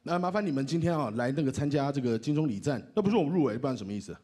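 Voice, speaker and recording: Husky, animated Taiwanese male co-host. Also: mid-range voice, racing. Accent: Taiwanese Mandarin. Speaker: radio co-host presenting awards. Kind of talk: comic double-act banter on stage. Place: on stage at an awards announcement event.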